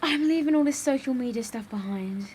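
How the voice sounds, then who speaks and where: An actress playing a firm, wistful young video maker, earnest and plain-spoken, in an audio drama. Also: female voice, high-pitched, lively intonation, a little fast, a little mellow, slight background noise. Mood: resolute, wistful.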